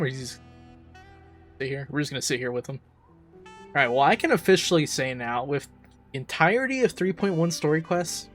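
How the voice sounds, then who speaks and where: A man giving casual, emphatic, enthusiastic commentary as a game reaction streamer. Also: fairly high pitch. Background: faint game music.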